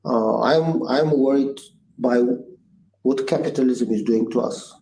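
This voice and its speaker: A man's voice: medium pitch, measured pace, creaky, mellow. A man in his sixties from Bulgaria with a Bulgarian accent, a guest entrepreneur answering interview questions in an earnest, thoughtful way, with many "uh" fillers and restarts. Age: 60s. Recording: echoey room with faint noise.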